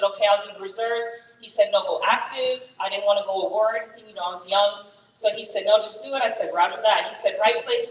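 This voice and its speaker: A woman in her thirties, raised in Germany with German as her first language, telling her Army career story to fellow soldiers in a candid, energetic way. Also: fairly high pitch, echoey room, big-room sound, distant mic, low-quality recording. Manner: candid, energetic, many fillers. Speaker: Army first sergeant, guest speaker. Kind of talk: personal story talk to soldiers.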